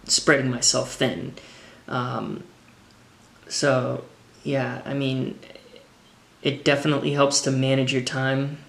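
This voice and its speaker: An American man in his thirties talking casually and unscripted, thinking through his answers as a drummer with frequent halting pauses, recorded indoors at a table. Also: medium pitch, gravelly. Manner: halting, thoughtful, pausing to formulate answers. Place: indoors, open kitchen-dining room at a table. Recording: echoey room.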